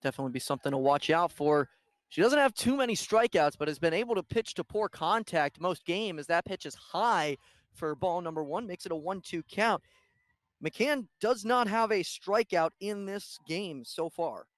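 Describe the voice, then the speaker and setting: A man with a high voice commentates live on a softball game as the play-by-play announcer. He follows every pitch in detail, and the sound is dry and close. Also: expressive, moderate pace, dry recording.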